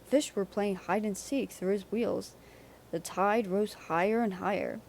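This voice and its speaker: A woman's voice: mid-range voice, unhurried, creaky, a little mellow, slight background noise. A woman narrating a children's storybook aloud from a script.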